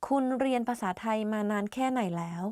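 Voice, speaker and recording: A woman's voice: on the high side, very fast, darkish tone, dry recording, clean studio sound. Woman reading lesson prompts. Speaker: co-presenter reading example phrases. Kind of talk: scripted phrase reading.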